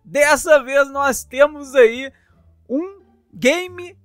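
A man's voice: extremely high pitch, drawn-out words, a bit bright, no room sound, studio quality, faint music behind. A man with a Brazilian accent hosting a casual game showcase, talking in a jokey, playful, slangy way. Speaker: YouTube channel host reviewing games.

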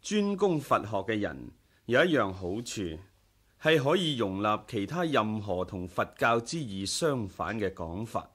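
A dignified, tolerant young monk leader in a Cantonese radio drama, with a Hong Kong accent. His lowish voice moves slowly and courteously, with expressive rises and falls. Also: breathy edge, heavily acted. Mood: calm, open. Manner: gentle, unhurried, courteous.

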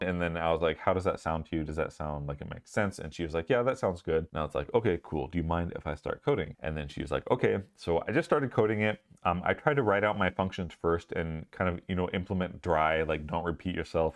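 Deep-voiced man chatting casually. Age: late 30s. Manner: conversational storytelling, often acting out quoted dialogue. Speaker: video host recounting a job interview. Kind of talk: casual anecdotal talk to camera. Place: small indoor office or meeting room. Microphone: lapel mic.

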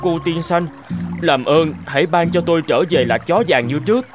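A man's voice: a little high, normal speed, music in the background, close to an everyday voice. A man voicing a restless, wistful dog in a children's cartoon, speaking eagerly and expressively. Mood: pleading. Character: restless, wistful male dog wishing to change.

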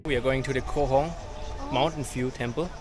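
A man's voice: mid-range voice, fairly even intonation, brisk. Casual vlogger man, music blaring. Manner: chatty, simple English, calls partner baby. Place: outdoors at viewpoints and cafes. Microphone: action camera held at arm's length. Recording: loud music mixed over.

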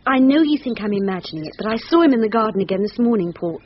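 Dull, noisy radio drama sound carries an actress as an anxious wife. She is earnest and insistent, pleading her case in a high voice. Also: animated, quick pace, lightly acted. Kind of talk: thriller radio drama acting.

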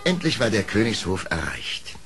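A man narrating a storybook radio play in a measured, literary way. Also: fairly low pitch, huge pitch swings, fairly bright tone, soft background music. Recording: old record with faint noise.

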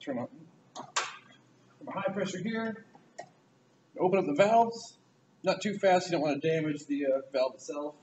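A man, giving an informal, halting hands-on technical walkthrough as an automotive student. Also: fairly high pitch, highs cut off. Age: 20s. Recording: noisy amateur camera audio, vehicle noise.

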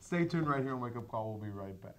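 A man's voice: medium pitch, expressive, fast talker, heavy background noise. Playful young man co-hosting campus news. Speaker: student news co-host. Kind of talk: casual studio banter. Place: campus TV studio.

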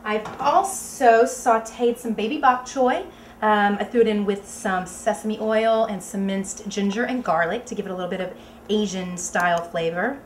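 A woman's voice: mid-range voice, moderate pace. A woman in her thirties from Oklahoma, cheerfully talking a home cooking demo to camera in her kitchen. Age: 30s. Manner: chatty, bubbly, explains as she cooks. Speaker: home cook auditioning for a cooking show. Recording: echoey kitchen with faint room noise.

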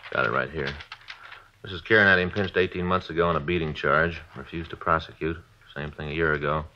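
Low, brisk laconic police partner. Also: male voice, fairly even intonation. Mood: businesslike. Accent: American. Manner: laconic, casual questioning. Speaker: detective's partner. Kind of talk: 1950s radio drama acting. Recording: dull vintage radio recording.

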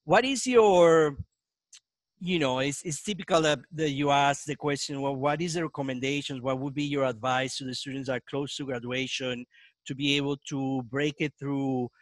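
A man's voice: mid-range voice, fairly even intonation, normal speed, dry recording. A man, hosting a casual video-call interview, rambling and restarting his questions. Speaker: podcast host. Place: home basement room with brick wall. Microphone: headset mic.

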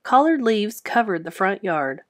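A woman narrating a children's book aloud in an expressive storybook style. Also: mid-range voice, measured pace.

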